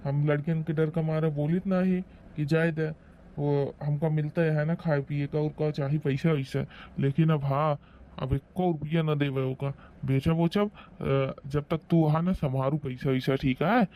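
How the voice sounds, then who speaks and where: A man's voice: a little high, steady tone, unhurried, dull audio, natural voice. A man playing a blustering, defensive old village husband in a rural comedy cartoon, scolding and rambling in an Awadhi accent. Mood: confiding, wary. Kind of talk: rustic comedy cartoon acting.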